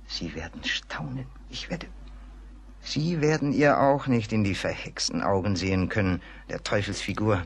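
An actor playing a superstitious, gossipy rural servant in a German radio play, dramatic and exclaiming. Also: male voice, fairly low pitch, normal speed, gravelly, slightly rough. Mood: agitated, awed.

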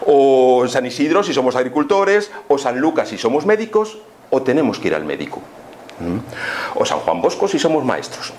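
A man with a Spanish accent from Spain speaks at a middling pitch, explaining things to listeners like a teacher. His delivery is informal and didactic, punctuated by rhetorical questions. A light layer of background noise sits behind the voice. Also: big pitch swings, moderate pace, a little mellow. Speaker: lecturer on spiritism. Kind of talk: informal explanatory lecture to an audience.

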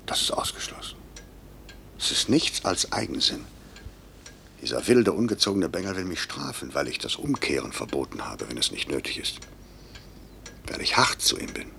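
An actor playing a stern, anguished middle-aged airline director in a 1950s German radio drama, curt and brooding. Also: male voice, fairly bright tone, full character voice. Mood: brooding, bitter. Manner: curt, commanding, brooding, sinking into breathy murmurs. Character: stern, anguished male airline director, guilt-ridden boss. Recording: hissy, rumbling 1950s radio recording.

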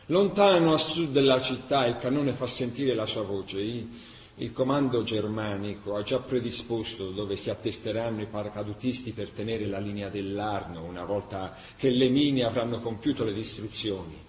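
An actor playing a solemn chronicler of a besieged city in a scripted radio drama. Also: male voice, fairly low pitch, normal speed, lightly acted. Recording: echoey room, clear background noise.

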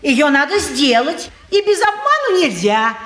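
An actress playing a sly, brisk dealer and matchmaker in a folksy, theatrical Russian stage drama. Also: female voice, high-pitched, big pitch swings, fairly bright tone, exaggerated voice. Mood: sly, boastful. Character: sly, brisk female dealer in second-hand goods and matchmaking. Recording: roomy 1950s theatre recording.

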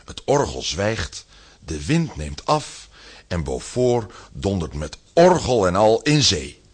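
Husky, very animated male narrator. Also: deep voice, slow. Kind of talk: dramatic scripted narration.

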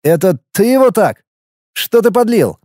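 A man voicing an anxious, exasperated younger brother, a sharp-tongued medical student who scolds in an audiobook story. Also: high voice, very animated, unhurried, dry recording, clean studio sound, pitched above the performer's own voice, exaggerated voice. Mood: alarmed. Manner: agitated, scolding.

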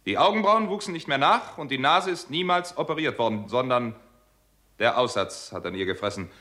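An actor in a 1960s German crime radio play, playing an insistent doctor turned detective who reasons in a dramatic, deliberate way. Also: male voice, mid-range voice, expressive, pushed and bright. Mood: accusing.

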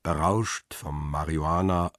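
A man narrating a radio crime drama in the first person, calm and reflective, with a husky voice. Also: extremely low pitch, measured pace, no echo, studio quality.

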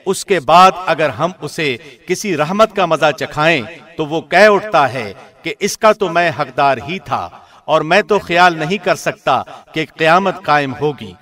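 A man reading a scripture translation in a formal, measured radio presenter's style. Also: fairly high pitch, fairly bright tone.

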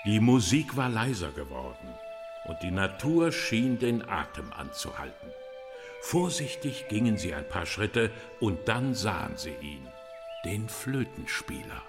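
A man narrating a fairy tale in a radio play, solemn and hushed. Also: fairly low pitch, very animated, unhurried. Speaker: storyteller. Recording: long reverb with music behind.

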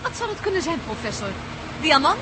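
A woman's voice: high voice, big pitch swings, brisk. An actress playing an earnest, anxious married woman in a radio play, politely urgent and full of questions. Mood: astonished, questioning. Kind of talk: Dutch radio play acting. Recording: noisy radio play, vehicle drone.

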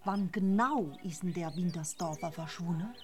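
A woman's voice: lowish voice, normal speed, a little mellow, faint music behind, natural voice. An actress playing a wary married woman who asks terse, understated questions in a radio drama. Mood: wary, probing. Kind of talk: radio drama acting, low-key dialogue.

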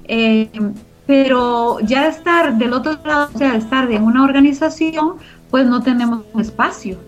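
A woman's voice: sits high, moderate pace. A woman being interviewed, explaining her organization's work in a conversational way. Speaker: interview guest from a community media organization. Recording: dull lo-fi audio, music behind.

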